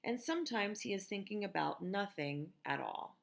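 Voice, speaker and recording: An American woman reading a picture book aloud to children in a gentle, expressive teacher's manner, with conversational asides. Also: medium pitch, normal speed, gravelly. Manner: gentle, expressive, asks listeners questions.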